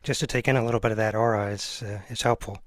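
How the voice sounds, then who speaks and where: A man's voice: fairly low pitch, steady tone, fast, dry recording, studio quality. A man hosting an interview show, chatting casually and earnestly. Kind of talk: casual conversation.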